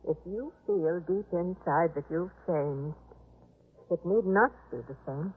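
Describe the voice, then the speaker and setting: Casting: composed, kindly household mistress, husky low female voice, measured and reassuring, vintage radio drama, telephone-like sound. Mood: firm, comforting. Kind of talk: 1950s radio drama acting. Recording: muffled, telephone-like 1950s radio recording.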